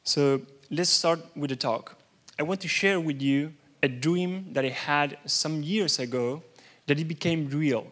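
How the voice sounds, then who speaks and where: Argentine guy, spanish native, keynote in a big conference hall, halting and nervous. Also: a little high, a bit bright. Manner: nervous, halting, many restarts. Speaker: keynote speaker.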